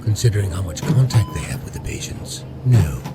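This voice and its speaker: A man's voice: deep voice, big pitch swings, brisk, loud music behind the voice. A man playing a stern, brusque hospital security chief in an audio drama, curt and officious. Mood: curt, official.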